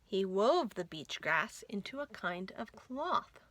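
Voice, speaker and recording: Slow, bright-voiced woman reading to children. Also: medium pitch, no echo. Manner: expressive, deliberate storytelling with dramatic emphasis. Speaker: children's librarian reading storytime. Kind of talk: animated picture-book read-aloud for young children.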